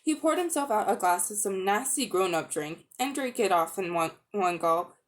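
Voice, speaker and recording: Expressive woman reading a storybook. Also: medium pitch, moderate pace. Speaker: audiobook reader. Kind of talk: dramatic storybook narration.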